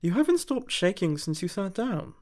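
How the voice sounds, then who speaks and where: Woman doing a prim male angel, fussy and polite, raised lively voice, storybook reading. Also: mid-range voice, moderate pace, voice pushed up, lightly acted. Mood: prim, gentle. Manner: prim and polite, fond old-fashioned endearments. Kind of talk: audiobook character voice.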